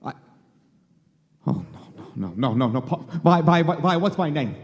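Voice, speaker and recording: A man's voice: fairly high pitch, very animated, normal speed, lo-fi sound. A man in a live radio play acting a frantic, bewildered everyman husband who stammers and repeats himself. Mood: desperate pleading. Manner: stammering, repeating words in agitation. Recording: live hall, long reverb.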